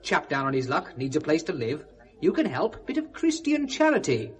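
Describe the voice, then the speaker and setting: A man's voice: a little high, wildly swooping pitch, moderate pace, husky, exaggerated voice. An actor playing a scornful, articulate English man who bitterly accuses, in 1970s British radio drama style. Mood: bitter sarcasm.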